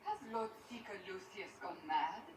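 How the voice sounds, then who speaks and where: An actress playing a stern, earnest warrior of a holy inquisition in a fantasy video game, serious and direct. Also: female voice, mid-range voice, normal speed, lightly acted. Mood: urgent, concerned. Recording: echoey distant game capture, noisy.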